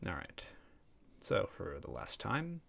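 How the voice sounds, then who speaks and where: A man's voice: lowish voice, huge pitch swings, slow pace, vocal fry, bright, forceful tone. A man hosting a story read-aloud series, talking casually and narrating in the first person. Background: background music.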